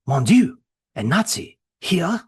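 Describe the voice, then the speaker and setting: A man's voice: a little high, sing-song, takes it slowly, breathy edge, voice pushed up, exaggerated voice. A man voicing a frightened elderly Frenchman who stammers timidly in a mock French accent. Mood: fearful.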